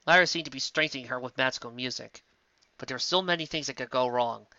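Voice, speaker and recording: A reader narrating a pony fanfiction story in an expressive audiobook style, with sudden jumps in pitch. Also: fast talker, no echo, dull audio.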